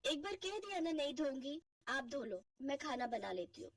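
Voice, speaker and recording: Lady doing a lazy spoiled young wife, high pitched, whiny n cheeky, cartoon, slightly off mic. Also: sing-song, moderate pace, recorded from a distance, crowd noise. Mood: defiant. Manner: whining, defiant. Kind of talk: Hindi family cartoon voice acting.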